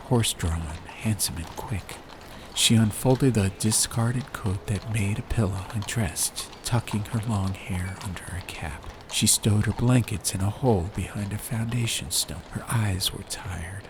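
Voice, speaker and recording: A man reading a story as an atmospheric audiobook narrator, in measured short phrases with pauses. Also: fairly low pitch. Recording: clear background ambient noise. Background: ambience.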